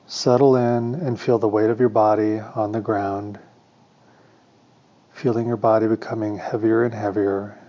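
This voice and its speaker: A man leading a guided meditation as a teacher, speaking calm, deliberate, soothing instructions with pauses, on a slightly muffled recording. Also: on the low side.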